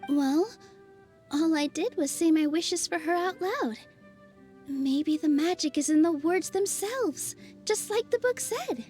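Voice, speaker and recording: A woman playing a gentle, earnest young forest fairy in an anime-style video game. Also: very high-pitched, animated, slow, soft background music, exaggerated voice. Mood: thoughtful.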